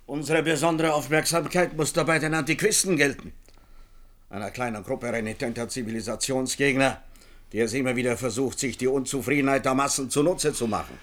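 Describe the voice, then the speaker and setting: A man's voice: mid-range voice, a little fast, a bit bright, lightly acted. A stern male security official in a science-fiction radio play, played by an actor. His voice is mid-range. He sounds formal, clipped and disdainful.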